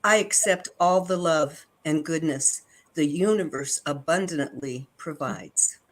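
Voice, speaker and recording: A woman reading affirmations and spiritual texts aloud in a measured, devotional way. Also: sits low. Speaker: affirmations and scripture reader.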